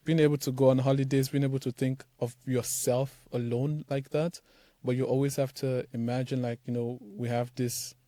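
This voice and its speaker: A young man from Nigeria answers interview questions in a loose, animated way, with many fillers. His voice is mellow and middle in pitch. The sound is dry and close. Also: normal speed, no echo. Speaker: startup founder, guest. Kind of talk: casual interview talk.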